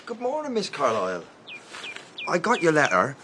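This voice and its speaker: A man's voice: on the high side, wildly swooping pitch, normal speed, pushed and bright, slight background noise, exaggerated voice. A man playing a young, respectful gardener with rustic, deferential phrasing in a British radio drama. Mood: eager, hopeful. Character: eager, respectful young male country gardener.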